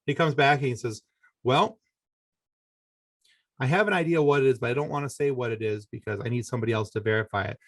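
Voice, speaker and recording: A man's voice: mid-range voice, no room sound. A middle-aged man telling a personal story as a podcast guest, talking conversationally and often stopping to correct himself. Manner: halting, conversational, self-correcting delivery.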